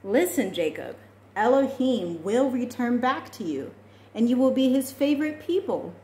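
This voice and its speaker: A woman's voice: fairly high pitch, very animated, normal speed, a little mellow, pitched above the performer's own voice. A woman voicing a kindly, mysterious man who reassures and guides in a storybook. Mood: earnest. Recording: echoey room with faint noise.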